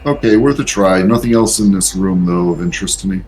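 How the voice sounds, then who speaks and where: A man's voice: deep voice, brisk, darkish tone. A man talking casually as a player in a tabletop role-playing game. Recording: faint background noise.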